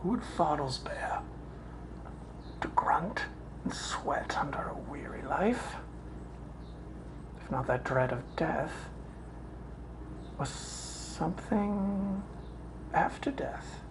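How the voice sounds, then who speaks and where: A brooding prince speaks a Shakespearean soliloquy, acted by a stage performer. The delivery is slow and deliberate, full of weighty pauses. Faint noise sits under the home recording. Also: mid-range voice, lively intonation. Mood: grave, troubled. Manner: deliberate, pausing, dropping to whispers. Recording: noisy amateur home recording.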